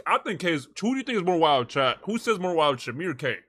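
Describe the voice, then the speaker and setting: A man's voice: a little high, big pitch swings, quick pace, a bit bright, dry recording, studio quality. A man who streams, bantering casually with his audience. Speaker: streamer in a played clip.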